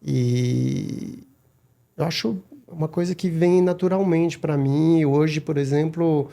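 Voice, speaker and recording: This is a middle-aged man, chatting as a podcast guest. His voice sits a little high for a man, with a gravelly, fry-laden edge and a dark, mellow tone. He talks haltingly and often restarts his words. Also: fairly even intonation. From Madagascar. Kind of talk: casual interview talk.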